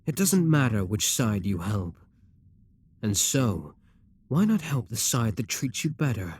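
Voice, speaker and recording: A man playing a smooth possessive demon king. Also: medium pitch, measured pace. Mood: persuasive. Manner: smooth, calm, coaxing and self-assured. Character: possessive, scheming male demon king captor. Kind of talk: audio roleplay addressed to the listener.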